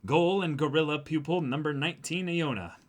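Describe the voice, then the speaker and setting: A man's voice: on the high side, fairly quick. A man co-hosting a casual reading stream, mixing banter with read-aloud narration. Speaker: co-host of a manga reading stream.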